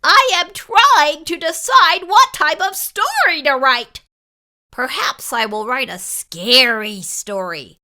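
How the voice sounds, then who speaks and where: Woman voicing a grumpy, high-pitched storybook pig writer. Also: expressive, takes it slowly, bright, forceful tone, heavily acted. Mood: exasperated. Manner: indignant, scolding, emphatic. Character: grumpy, bossy male pig writer in a picture book. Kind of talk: animated children's read-aloud character voice.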